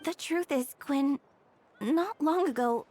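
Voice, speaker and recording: A withdrawn young woman from a video game. Her high voice is slow, hesitant and heavy with gloom, over faint background noise. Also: darkish tone. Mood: hesitant, sad. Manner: sighing, hesitant. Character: downcast, withdrawn young woman, gentle and troubled. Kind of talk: video game character acting.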